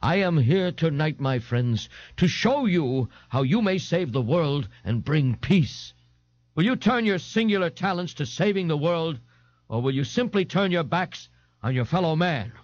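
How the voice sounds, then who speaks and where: A man's voice: on the high side, sing-song, unhurried, no room sound, lo-fi sound, voice pushed up. A man voicing a pompous, indignant fat man who speaks in a grave, grandiloquent, formal way in an audiobook. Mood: outraged.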